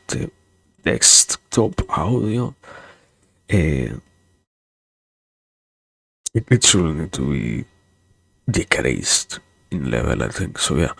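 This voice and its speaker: A man's voice: deep voice, creaky, a bit bright, husky, dry recording. A young man with a non-native English accent, chatting on a livestream in a hesitant, rambling way, repeating words and getting wryly sarcastic. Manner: wry sarcasm. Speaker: virtual streamer. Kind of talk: casual livestream chat.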